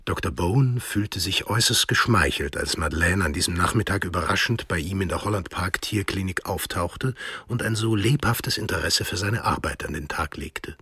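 A man's voice: deep voice, moderate pace, pushed and bright, slightly rough, dry recording. A man narrating a radio drama from a script.

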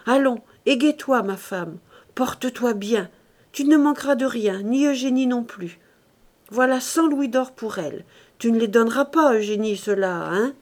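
A woman's voice: on the high side, moderate pace, close to an everyday voice. A miserly old cooper from a classic novel , played by a woman narrator. He blusters and cajoles his family in exclamatory bursts, wheedling one phrase after another. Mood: eager, blustering.

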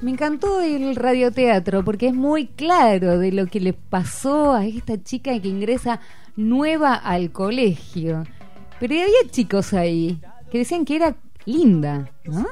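A woman's voice: fairly high pitch, big pitch swings, measured pace, darkish tone, soft background music. Coaxing Argentine woman radio host. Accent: Argentine Rioplatense Spanish. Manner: coaxing, encouraging questions. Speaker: radio host talking with schoolchildren. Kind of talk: casual classroom radio chat.

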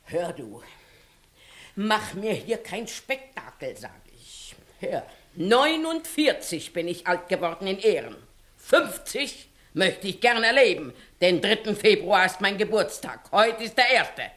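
A fierce village matron in a 1960s radio play. She scolds sternly and emphatically, taking her time over the words while her pitch swings widely. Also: very animated, slow pace, a bit bright. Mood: threatening. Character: fierce, scolding village mother aged forty-nine. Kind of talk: 1960s German-language radio acting.

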